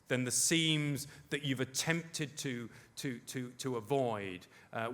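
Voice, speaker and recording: Middle-aged man, medium pitch, deliberate panel speaker wrapping up his talk. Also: a bit bright. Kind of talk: conference presentation. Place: conference hall.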